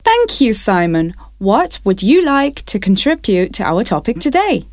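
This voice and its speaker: A woman from Wales hosting a radio phone-in, speaking in a measured, polite and clearly enunciated way. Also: medium pitch, big pitch swings, no echo, studio quality. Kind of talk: scripted radio phone-in presenting.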